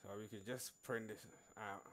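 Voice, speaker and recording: A man narrating an informal programming screencast in a halting way, repeating words as he works. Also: lowish voice, steady tone, a bit bright, a little hiss or hum. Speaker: programming tutorial presenter. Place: screen recording voice-over.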